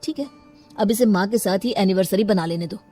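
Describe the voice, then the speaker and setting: A woman's voice: mid-range voice, brisk, warm tone, natural voice. A woman voicing a sulky young newlywed husband who feels neglected, in an audio story. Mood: aggrieved. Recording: music under the voice.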